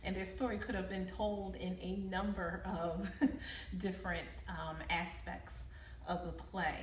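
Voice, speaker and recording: Echoey, lower-voiced woman, candid interviewee. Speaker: playwright and producer being interviewed. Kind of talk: casual interview answers. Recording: echoey, distant mic, faint room noise.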